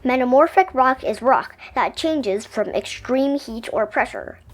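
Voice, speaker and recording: A woman reading a children's science picture book aloud in an expressive, explanatory storytelling way. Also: high voice, measured pace, faint music behind.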